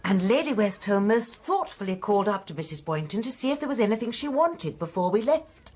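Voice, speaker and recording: A woman's voice: mid-range voice, very animated, brisk, darkish tone, breathy edge. An actress playing a prim, gossipy lady witness, chatty and deferential in a radio drama. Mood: eager, gossipy. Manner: chatty, prim, eager to tell.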